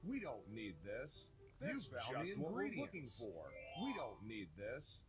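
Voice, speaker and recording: A man's voice: mid-range voice, huge pitch swings, moderate pace, over loud music. A man narrating a children's game from a script, giving simple instructions for young kids.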